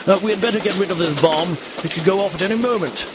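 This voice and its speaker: An actor playing an earnest, excitable doctor sidekick in a comic audio drama. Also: male voice, fast talker, natural voice. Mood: flustered. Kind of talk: audio comedy drama acting. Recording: very loud train or engine rumble behind.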